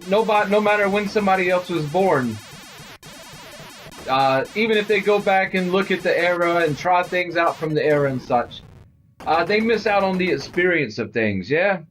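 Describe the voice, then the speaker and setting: Middle-aged male stream host, loud and talkative, slightly high voice. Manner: loud, chatty, talks to viewers. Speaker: livestream host. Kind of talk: casual gaming livestream chat.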